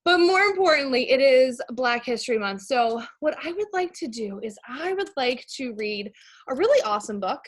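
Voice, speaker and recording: A woman's voice: high voice, expressive, moderate pace. An American woman reading aloud to young schoolchildren as a teacher, informal and explanatory, addressing them as friends. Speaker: elementary school teacher reading a picture book to pupils. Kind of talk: read-aloud lesson for young children.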